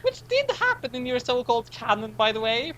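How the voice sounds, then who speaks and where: Casting: animated, sharp-voiced male co-reader, halting delivery, casual commentary, slight hum behind. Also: very high voice. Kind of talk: dramatic reading with casual commentary.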